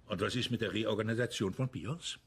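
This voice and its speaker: An actor playing an older, bitter pensioned security official in a radio crime drama, aggrieved, coarse and blunt. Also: male voice, lowish voice, brisk, creaky, breathy edge. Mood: indignant, pleading.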